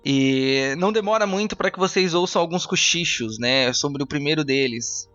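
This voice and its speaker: A man's voice: on the high side, normal speed, a bit bright, lo-fi sound, faint music behind. A man with a Brazilian accent improvising narration as game master, conversational, often repeating himself as he searches for words.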